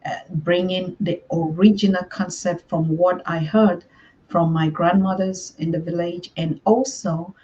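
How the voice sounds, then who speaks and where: A woman's voice: fairly low pitch, steady tone, measured pace, a little mellow, lo-fi sound, slight background noise. A woman from southern Cameroon, a guest on an online interview, who speaks thoughtfully with many ums and uhs.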